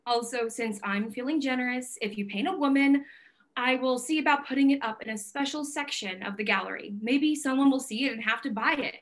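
A woman's voice: sits high, brisk, natural voice. A woman playing a fiery, idealistic gallery owner in a staged play reading, speaking assertively and emphatically. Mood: stern, relenting. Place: plain indoor room, video call.

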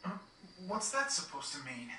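Earnest youth, echoey and distant. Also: male voice, sits high, big pitch swings, normal speed, slight background noise, full character voice. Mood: concerned. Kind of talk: fantasy video game cutscene acting. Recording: echoey TV capture, loud music behind.

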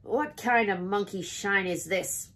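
A husky, put-upon male rat from a children's storybook, acted out by a woman. He grumbles lazily and unhurriedly, with sarcastic mockery in his delivery. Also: mid-range voice, measured pace, a bit bright. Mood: disgusted.

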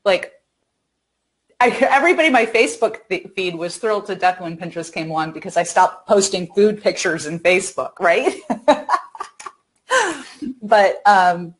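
A woman's voice: fairly low pitch, normal speed, long pauses. A middle-aged woman co-hosting a casual discussion over a video call. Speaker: talk show co-host. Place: home room, video call.

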